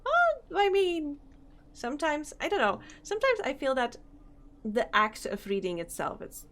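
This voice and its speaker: A young woman streaming a game, chatting casually, reading on-screen text aloud and laughing often, her voice slightly hoarse. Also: high-pitched, big pitch swings, measured pace, fairly bright tone. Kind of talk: casual let's-play commentary.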